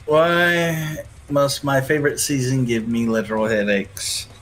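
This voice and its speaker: Woman, raspy voice, unhurried, playful casual chat, soft guitar music behind. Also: very deep voice, steady tone. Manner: playful, joking. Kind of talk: casual livestream banter.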